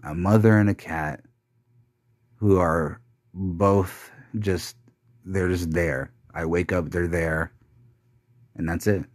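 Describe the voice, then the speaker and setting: A young man giving a bitter, blunt personal monologue with plenty of swearing, talking slowly and heavily with long trailing pauses. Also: sits low, fairly even intonation, a little mellow, dry recording, clean studio sound. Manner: slow, heavy delivery with trailing pauses.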